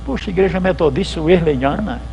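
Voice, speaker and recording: A man's voice: high voice, lively intonation, fairly quick, vocal fry, darkish tone, soft background music, poor audio. A man, a pastor giving a church history lecture at a symposium, telling it in a chatty way and acting out the dialogues. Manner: conversational storytelling, acts out dialogues. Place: lecture hall at a theological symposium.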